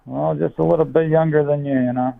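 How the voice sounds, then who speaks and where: Gravelly young man on a tinny phone line. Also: a little high, steady tone, fast, dry recording. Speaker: person on the other end of a call. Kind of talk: casual phone chat.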